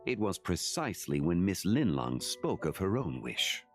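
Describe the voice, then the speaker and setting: A man playing an ancient, composed sage in a video game, speaking formally and with measured gravity. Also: low voice, sing-song, faint music behind. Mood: explaining.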